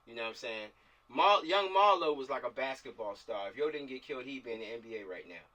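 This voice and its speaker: A man's voice: mid-range voice, animated, quick pace, pushed and bright. A man chatting casually and directing actors over a video call, slangy and often repeating himself for emphasis. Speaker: filmmaker leading a script table read. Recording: distant mic on video call.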